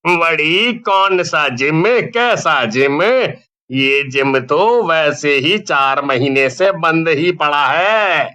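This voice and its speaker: Cartoon workman, played by a man: dismissive, amused, incredulous, slow drawling delivery. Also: on the high side, animated, pushed and bright, full character voice. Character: amused, dismissive male demolition worker. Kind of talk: Hindi horror cartoon dubbing.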